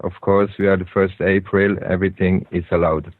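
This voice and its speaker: A man's voice: deep voice, flat delivery, no echo. A man with a Swiss German accent, a native Swiss German speaker hosting a radio show, talking in an unscripted monologue that halts often and bends English grammar. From Switzerland. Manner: halting, with frequent hesitations and non-native grammar. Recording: tinny phone-like line, dry.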